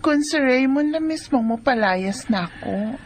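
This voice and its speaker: An actress with a Cebuano Filipino accent playing a brazen, mocking young mistress in a radio drama. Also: female voice, high-pitched, animated, a little slow, a little hiss or hum. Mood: defiant, mocking.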